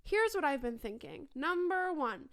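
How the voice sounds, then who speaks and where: High-voiced young woman, soft-spoken. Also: unhurried. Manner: soft-spoken, laughs often, animated bursts. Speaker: podcast co-host. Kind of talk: casual podcast debate.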